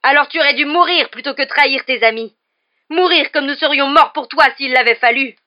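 A woman voicing a furious, vengeful man in a storybook, loud and growling with accusation. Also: medium pitch, fairly even intonation, moderate pace, lo-fi sound, lightly acted. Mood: bitter fury.

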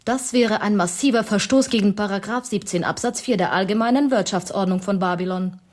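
A woman's voice: mid-range voice, brisk, darkish tone, natural voice. Young female executive, played by an actress: cold, formal, clipped, mid-range voice, German radio drama. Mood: cool, businesslike. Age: young adult. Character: cool, haughty young female corporate security executive.

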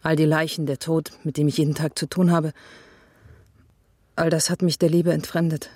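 An actress playing a serious, guarded police investigator in a radio drama, speaking earnestly and reflectively. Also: female voice, sits low, flat delivery, moderate pace, dark tone, no echo, clean studio sound. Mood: earnest, vulnerable.

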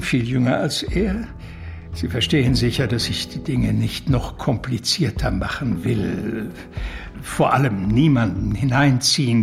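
A man's voice: medium pitch, gravelly. An actor playing an urbane, talkative and evasive male confidant in a radio drama, with mannered, eloquent phrasing. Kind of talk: German radio drama acting.

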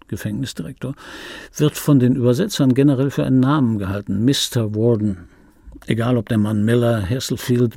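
An actor playing an embittered, wistful dubbing actor in his fifties, delivering a dry, brooding radio play monologue in a husky voice. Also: medium pitch, mellow, no room sound. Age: 50s. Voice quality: husky, gravelly.

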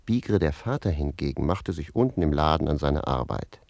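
A talkative servant with a deep, warm voice in a radio drama. He tells tales with theatrical relish and wry wit, recorded dry and close. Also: expressive, normal speed, gravelly, no room sound, heavily acted. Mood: lively narrating. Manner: savouring, theatrical storyteller. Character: wry talkative manservant recounting his amorous youth to his master. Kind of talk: German radio play dialogue and storytelling.

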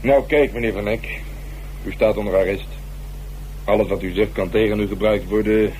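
An actor playing a cynical, hard-boiled police inspector in a radio drama, talking in a wry, mocking, colloquial way. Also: male voice, lowish voice, steady tone, normal speed, a little mellow, close to an everyday voice. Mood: mocking, official. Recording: humming, noisy radio play recording.

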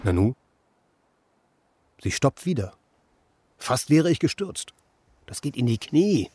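An actor playing an idle, bemused drifter who mutters and exclaims to himself in a German radio play. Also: male voice, medium pitch, sing-song, slow pace, noisy background. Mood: muttering, flustered. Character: idle male drifter, musing, irritable, bemused.

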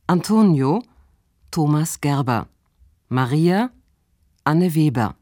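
A male announcer reading scripted radio play credits in a measured, formal way. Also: on the high side, animated, mellow, no echo, clean studio sound.

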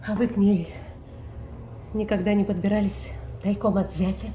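An actress as a suspicious, jealous noblewoman in a classical audio drama. Her middle-pitched voice is soft-edged and mellow, with an insinuating theatrical delivery. It rings in a roomy studio with faint music behind. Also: fairly even intonation, moderate pace, close to an everyday voice. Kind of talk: Russian classical stage radio drama.

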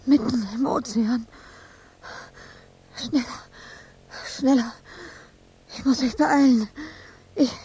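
An actress playing an anguished, exhausted, obsessive woman sculptor in a radio drama monologue, speaking in halting fragments that often sink into breathy whispers. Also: high-pitched, mellow.

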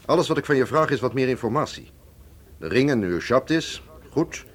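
An actor in a radio drama playing a shrewd, suave museum curator, measured and condescending. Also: male voice, medium pitch, expressive, close to an everyday voice. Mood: shrewd, bargaining. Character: shrewd, suave Russian male museum curator, quietly threatening.

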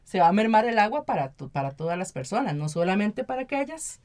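A woman's voice: mid-range voice, sing-song, quick pace, darkish tone. An earnest woman with a Costa Rican accent speaking conversationally as an interview guest, often adding tag questions to seek agreement. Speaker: activist and municipal council member, interview guest.

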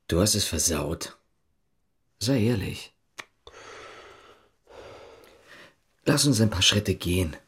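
A man's voice: on the low side, expressive, measured pace, a bit bright, slightly rough, exaggerated voice. A man acting as a guarded, weary East German policeman in a German radio drama, speaking tersely. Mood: serious, probing. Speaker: actor.

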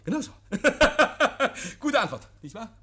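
An actor playing a nervous, guilty man in a 1950s radio play, feigning jovial innocence, stammering and repeating himself, hushed then agitated. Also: very high voice, sing-song, slow pace, breathy edge, full character voice. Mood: manic mockery. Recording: vintage 1950s radio recording.

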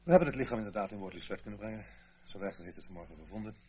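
An actor in a radio play playing an eager subordinate secret agent who talks in a hurried, defensive way. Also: male voice, on the low side, darkish tone, breathy edge. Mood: brisk reporting. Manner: hurried, defensive, stammering under pressure.

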